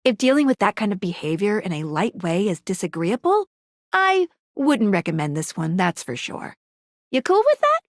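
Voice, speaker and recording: A woman's voice: medium pitch, very animated, normal speed, no echo, clean studio sound. A woman playing a perky, quirky guide character in a video game, playful and bubbly as she explains things. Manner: playful, bubbly, cutesy slang.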